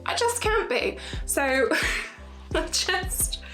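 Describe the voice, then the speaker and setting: A woman's voice: sing-song, very slow, over music. A young woman in her twenties talking straight to camera in a casual vlog, earnest and emphatic, repeating her points for stress. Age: 20s. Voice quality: high-pitched voice. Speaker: YouTuber.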